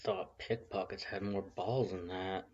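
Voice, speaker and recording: A gruff, low-voiced skeleton thug as put on by a story reader, terse and threatening. He is a crude, grudging male monster in a fan-fiction audio story, recorded a little dully. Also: steady tone, unhurried, a little mellow, muffled recording, a little hiss or hum.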